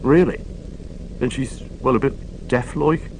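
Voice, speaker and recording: A man's voice: medium pitch, slow pace, a little hiss or hum, pitched above the performer's own voice, low-quality recording. A man voicing a clever, tetchy middle-aged police inspector who talks in a dry, superior way. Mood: impatient.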